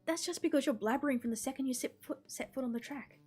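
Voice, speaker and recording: A woman's voice: high voice, darkish tone, slightly rough, soft background music, pitched above the performer's own voice. Woman acting a bossy, athletic teenage girl. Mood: pushy. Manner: pushy, exasperated, brisk. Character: bossy, energetic teenage girl runner, impatient coach.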